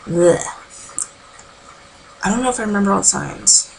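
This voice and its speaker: A woman giving a casual, rambling vocal warm-up tutorial from home, full of filler words. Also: mid-range voice, animated, moderate pace, creaky, a little hiss or hum, low-quality recording. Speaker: amateur singing tutor.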